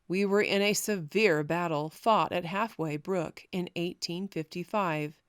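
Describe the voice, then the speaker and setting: A woman narrating a historical memoir as an audiobook, reading in a measured, formal way. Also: fairly low pitch, clear, resonant tone, no room sound, studio quality.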